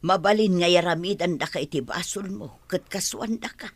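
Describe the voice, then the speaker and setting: A woman playing a fretful, loving older mother in an audio drama, with a husky, breathy voice. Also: fairly low pitch, moderate pace, no echo. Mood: fearful, tender.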